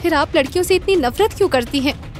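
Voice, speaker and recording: A woman voicing a gentle young daughter-in-law with an earnest, pleading manner, in a moral story. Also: high-pitched, lively intonation, fast, soft background music, pitched above the performer's own voice. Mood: questioning.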